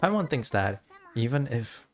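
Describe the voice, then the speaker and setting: A man's voice: medium pitch, sing-song, moderate pace, natural voice. A man reading the part of a small, supportive fairy girl from a game, in a plain-spoken way. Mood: encouraging. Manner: speaks of herself in third person, plain-spoken.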